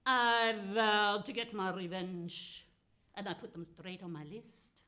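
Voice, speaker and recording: A woman's voice: medium pitch, takes it slowly, pushed and bright. A woman playing a spiteful, gleeful, foul-mouthed witch who tells comic fairy tales in a theatrical, cackling manner. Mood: spiteful, aggrieved. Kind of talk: comic storytelling monologue.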